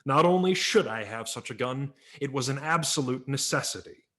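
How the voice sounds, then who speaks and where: A man narrating a humorous memoir story as a dramatic reading, wry and theatrical, letting his voice drop low at the ends of phrases. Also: animated, moderate pace, a bit bright. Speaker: audiobook narrator. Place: home, virtual reading.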